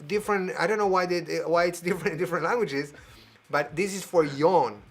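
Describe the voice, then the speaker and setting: Man, game-show host, lively, playful, bit high voice, video call. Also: a little fast. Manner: animated, playful, laughs while talking.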